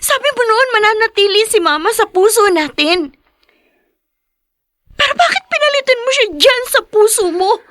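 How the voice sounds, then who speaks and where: An actress playing a grieving, resentful daughter in a radio drama, tearful and pleading, with a Filipino accent. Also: female voice, extremely high pitch, expressive, moderate pace, fairly bright tone, no echo, clean studio sound. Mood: angry, tearful. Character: grieving, resentful daughter hurt by father's remarriage.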